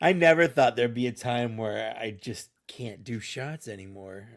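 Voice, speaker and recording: A man's voice: medium pitch, normal speed. A man chatting as a guest on a live show, joking and laughing easily. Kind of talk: casual live chat.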